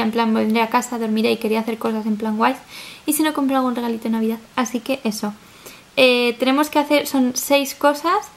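High-pitched, creaky young Spanish woman. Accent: Spanish from Spain. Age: 20s. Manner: rambling, chatty. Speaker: vlogger. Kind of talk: casual chat to camera. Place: small flat living room, indoors.

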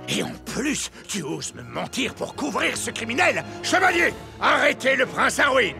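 A man's voice: very high-pitched, very animated, normal speed, creaky, full character voice. A man playing a stern, indignant old cartoon king with an imperious, scolding manner. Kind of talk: animated cartoon dub acting. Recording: loud music behind the voice.

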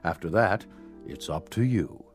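An actor playing a smooth, flattering shop salesman with flowery, persuasive patter in a radio drama. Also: low voice, measured pace, faint music behind.

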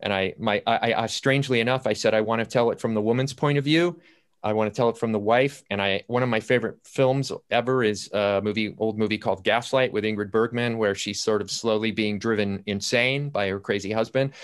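Man, mature, low voice, playwright on an online panel, storytelling and quoting himself as he goes. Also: fairly even intonation, fairly quick. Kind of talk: anecdotal panel talk about writing. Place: indoors at home, on a video call. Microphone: computer video-call audio.

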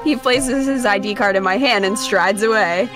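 Young woman doing a lets play, medium pitch, reads and chats casually, music behind. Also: moderate pace. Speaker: game streamer reading a visual novel aloud. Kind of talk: casual let's play chat and read-aloud.

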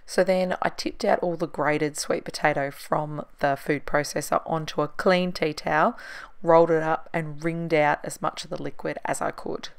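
Low, gravelly woman chatting. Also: steady tone, normal speed. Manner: chatty, self-deprecating, laughs at herself. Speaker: cooking vlogger. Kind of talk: casual voice-over narration.

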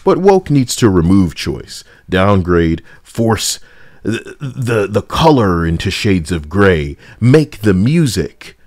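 A man with a lowish voice delivers casual gaming commentary. His intonation moves around a great deal, giving his blunt, sarcastic opinions an animated edge. The recording is dry and close, with very little room sound. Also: slow pace, no echo. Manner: edgy, sarcastic, blunt, profane. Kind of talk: casual opinion commentary over game footage.

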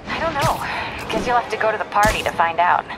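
A woman's voice: medium pitch, expressive, brisk, background noise, lightly acted. An actress playing a young female reporter in a video game, dry and teasing. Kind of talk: video game cutscene voice acting.

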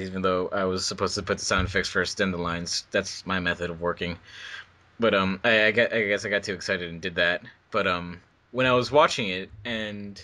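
Guy on a casual commentary, bit low but bright voice, kinda stammers. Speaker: fandub editor, commentator.

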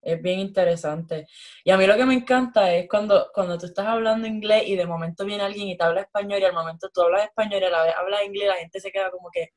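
A woman's voice: mid-range voice, quick pace, a little mellow. A young Puerto Rican woman, a guest on a video call, chatting casually with many fillers, heard through a laptop mic at home. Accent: Puerto Rican Spanish. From Puerto Rico. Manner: chatty, lots of "como que". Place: room at home. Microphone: laptop webcam on video call.

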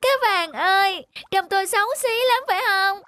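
A woman's voice: extremely high pitch, normal speed, fairly bright tone, dry recording. A woman voicing a timid female shrimp in a cartoon, plaintive and self-pitying. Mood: sad. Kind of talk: cartoon voice acting. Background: music.

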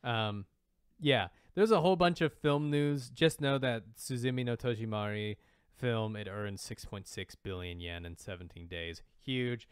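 Smooth-voiced man, casual podcast co-host. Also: lowish voice, unhurried, no room sound, studio quality. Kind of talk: casual banter about manga news.

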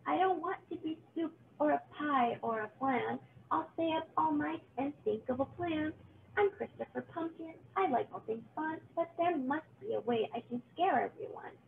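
A woman's voice: high voice, animated, moderate pace, creaky, phone-quality sound, slight background noise, voice pushed up. A woman voicing a friendly, eager little boy pumpkin in a children's storybook, sweet and upbeat. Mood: determined. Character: cheerful, friendly little male pumpkin who loves fun.

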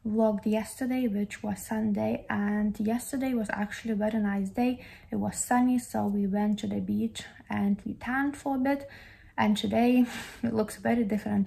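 High-voiced woman vlogging over background noise. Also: vocal fry. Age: 30s. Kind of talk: casual chatty vlog. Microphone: handheld phone camera. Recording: noisy phone audio with ambience and vehicles.

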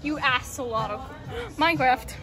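A young woman chatting casually and excitably to a handheld phone while out as a cosplay vlogger. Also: sits high, normal speed, loud music behind the voice, poor audio. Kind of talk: casual chat to camera. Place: shopping mall and stores, outdoors at first. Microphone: handheld phone at arm's length.

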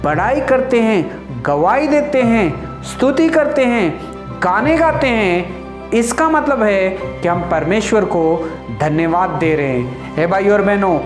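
A man in his thirties preaching with fervent energy and sweeping gestures, addressing brothers and sisters. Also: high-pitched. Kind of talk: Hindi sermon. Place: indoor studio before printed backdrop. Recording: roomy sound with music underneath.

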